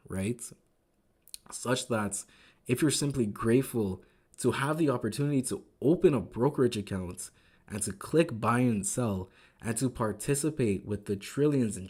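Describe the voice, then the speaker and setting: A man hosting a trading live stream, recapping the market in casual, upbeat talk that keeps asking "right?", his voice growing a little raspy. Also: fairly low pitch, a little mellow. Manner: enthusiastic, repeats words, asks "right?" often. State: voice getting raspy.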